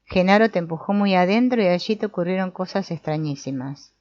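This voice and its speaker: A woman's voice: fairly low pitch, expressive, darkish tone, dry recording, lightly acted. A woman reading as an old sorcerer teacher. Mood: explaining. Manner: measured, explanatory reading with small restarts. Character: old male sorcerer teacher, calm and didactic. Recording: dull, muffled audio.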